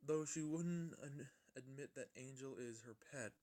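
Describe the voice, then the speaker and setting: A man's voice: mid-range voice, measured pace, dark tone. A man narrating a fan fiction story in an amateur style, often stumbling and rereading his lines.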